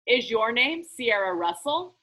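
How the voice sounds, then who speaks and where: A woman's voice: sing-song, sharp tone, heavily acted, rough recording. A woman voicing an eccentric, grandly stern man who presides like a judge in a children's storybook. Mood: judging, solemn. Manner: booming, theatrical, deliberate. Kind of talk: children's book read-aloud.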